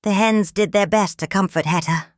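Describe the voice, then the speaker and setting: A woman reading a children's picture book aloud in a calm, measured, expressive storytelling manner. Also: medium pitch, no room sound, studio quality. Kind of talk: children's read-aloud narration.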